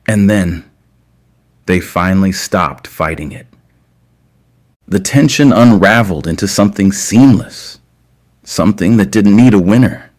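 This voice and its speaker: Deep-voiced man narrating slowly and deliberately. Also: lively intonation, a little mellow. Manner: measured, deliberate phrasing with dramatic pauses. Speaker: audio story narrator. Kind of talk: scripted narration of intimate romance fiction.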